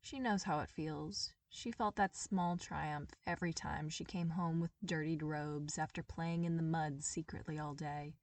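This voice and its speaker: A woman's voice: low voice, steady tone, unhurried, hardly any pauses, darkish tone, dry recording, far from the mic. Woman narrating a story, slightly off-mic. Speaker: amateur audiobook narrator.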